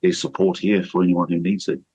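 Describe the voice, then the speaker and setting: A man's voice: deep voice, little pitch movement, a little fast, darkish tone, breathy edge, dry recording, rough recording. A man leading a church, speaking earnestly and steadily in a radio interview, with fillers and restarts. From New Zealand. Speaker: church leader.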